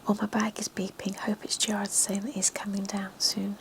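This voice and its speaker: A woman voicing a chatty woman on holiday, in a soft unvoiced whisper. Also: medium pitch, monotone, moderate pace, a little hiss or hum, close to an everyday voice.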